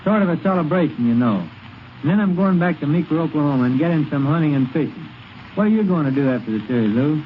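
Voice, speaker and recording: A man's voice: a little high, animated, fast talker, lightly acted. A man delivering scripted, friendly banter on 1930s radio. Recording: slightly noisy, muffled vintage radio recording.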